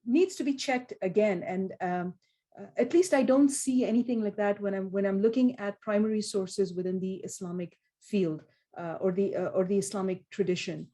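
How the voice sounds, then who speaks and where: A woman with a soft-edged, mellow voice at medium pitch speaks as a scholar on a panel. She is reflective and halting as she thinks. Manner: thoughtful, halting. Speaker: panellist, Islamic studies scholar.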